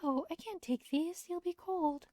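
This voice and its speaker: A woman voicing a gentle, soft-hearted young woman from a visual novel who speaks politely and formally. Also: very high-pitched, measured pace, no room sound, pitched above the performer's own voice. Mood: soft, earnest.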